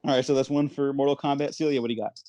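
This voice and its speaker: A man's voice: mid-range voice, steady tone, racing, warm tone, no room sound. A man hosting a casual movie discussion podcast, talking in a conversational way. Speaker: podcast host.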